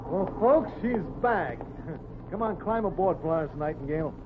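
Sarcastic exasperated folksy driver, 1940s radio comedy, dull hissy old recording. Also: male voice, sits high, animated, measured pace. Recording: muffled, noisy 1940s radio broadcast recording.

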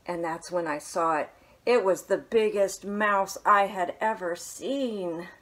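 A woman in her thirties, a children's librarian, reading a picture book aloud at home in a small living room, gently and with soft hushed asides. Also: mid-range voice, slow.

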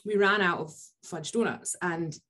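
A woman's voice: lowish voice, normal speed, fairly bright tone. A woman, a podcast co-host telling rambling stories with many fillers on a video call. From Scotland. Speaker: podcast co-host from a family bakery. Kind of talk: casual video call chat. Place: indoor office with posters. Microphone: video call webcam.

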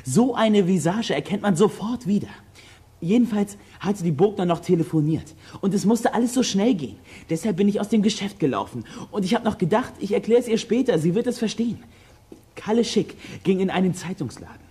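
A performer playing a bold, practical boy detective with a brisk, decisive manner in a children's audio drama. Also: mid-range voice, lively intonation, mellow, slightly rough. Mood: earnest.

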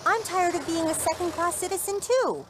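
A woman's voice: extremely high pitch, normal speed, over music. An earnest zombie girl activist. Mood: rallying. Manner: rallying, impassioned.

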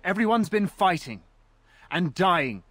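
An actor playing a frightened, humble young village archer in a fantasy game, anxious and deferential. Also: male voice, high-pitched, sing-song, unhurried, a bit bright, no echo, exaggerated voice. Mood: desperate, fearful. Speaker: game character. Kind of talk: dramatic fantasy game acting.

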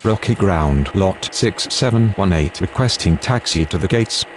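A man's voice: low voice, lively intonation, a little slow. A man speaking scripted air traffic radio phraseology for a control game.

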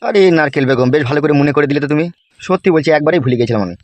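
A man's voice: a little high, expressive, racing, darkish tone, no echo, lightly acted. A man voicing a conniving, coaxing village schemer in a cartoon. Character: sly, scheming male village schemer offering bribes.

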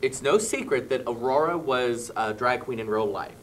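A young man talking as a guest on a small local TV studio show, halting with many fillers and small laughs, into a handheld mic. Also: mid-range voice, animated, far from the mic, slight background noise. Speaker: cast member guest. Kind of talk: casual studio chat. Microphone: handheld mic held by host.

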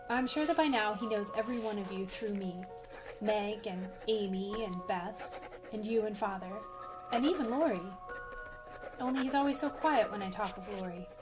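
Casting: actress as earnest, warm-hearted young female writer, slightly high voice, period radio drama. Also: lightly acted, poor audio. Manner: eager, earnest. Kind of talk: old-fashioned radio drama acting.

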